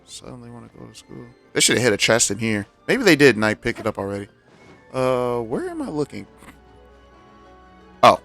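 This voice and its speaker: A man's voice: medium pitch, very animated, a little fast, creaky, pauses often, fairly bright tone, faint music behind. A man doing casual, reactive gameplay commentary as a streamer, joking and slangy. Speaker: game streamer.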